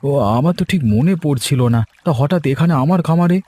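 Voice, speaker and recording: A man's voice: a little high, a little fast, mellow, no echo, close to an everyday voice. A man voicing an earnest, trusting and poor village fish farmer in a cartoon folk tale. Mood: puzzled, apologetic. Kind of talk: cartoon fairy-tale dialogue.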